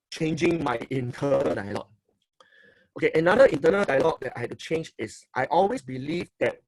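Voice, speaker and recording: A middle-aged man with a Singaporean English accent gives an emphatic, fairly high-pitched seminar talk, recorded close and dry. He keeps repeating phrases and tagging lines with "okay?" as he coaches his listeners. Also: dry recording, low-quality recording. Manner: emphatic, repeats phrases, asks for likes and applause; tags sentences with "okay". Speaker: motivational speaker and coach.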